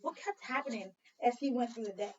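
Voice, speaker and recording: A woman teacher doing an expressive read-aloud for children, telling the story dramatically and prompting listeners with questions. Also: a little high, brisk, breathy edge. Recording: dull lo-fi sound, distant from mic.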